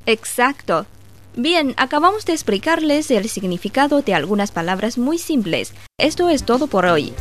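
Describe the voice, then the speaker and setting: A woman teaches a short language lesson, clear and patient as she breaks each word apart. Her voice sits slightly high. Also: sing-song, normal speed, music in the background. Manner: clear and patient, spelling out words.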